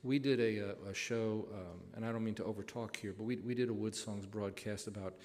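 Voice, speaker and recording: A man's voice: on the low side, fairly even intonation, brisk, a little mellow. A man hosting a live radio show on stage, talking in an earnest, emphatic, folksy way. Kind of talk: live on-stage interview and introduction. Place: on stage in a theater. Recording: live theater hall with audience.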